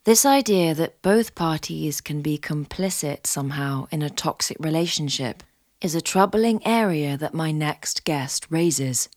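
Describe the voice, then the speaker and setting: A woman hosting a podcast, reading scripted narration and poetry in a measured, literary way. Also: low voice, expressive, a little mellow, dry recording, studio quality. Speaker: podcast host.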